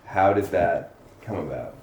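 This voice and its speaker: A man's voice: sits low, expressive, unhurried, a little mellow. A man interviewing casually, with a laid-back, slangy way of talking. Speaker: interviewer for a music channel. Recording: roomy echo, faint background noise.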